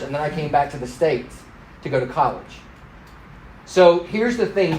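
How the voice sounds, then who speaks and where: A man in his fifties who grew up in Thailand, teaching a church class in an animated, joking way and teasing the people in it. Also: fairly high pitch, slight background noise. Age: 50s. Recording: echoey room.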